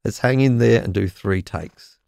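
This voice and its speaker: A man with a slightly croaky voice explains music software in a relaxed, chatty way, often checking in with "okay?". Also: fairly low pitch, normal speed. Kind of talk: screen-recorded software tutorial.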